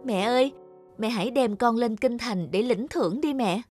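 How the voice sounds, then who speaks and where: A woman's voice: a little high, moderate pace, a little mellow, husky. Young talking pumpkin , female performer, hopeful, earnest, coaxing, storybook cartoon over music. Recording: music under the voice.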